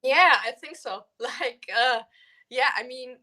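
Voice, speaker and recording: Bright, high-voiced woman. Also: slow pace. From Poland, grew up in Germany. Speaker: interview guest, professional fighter. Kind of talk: casual chat.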